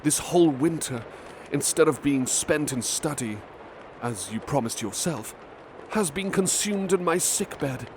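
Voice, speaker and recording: A man's voice: a little high, animated, unhurried, background noise. Emotional, grateful young invalid, read by a man with a lifted voice. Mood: fervent, grateful.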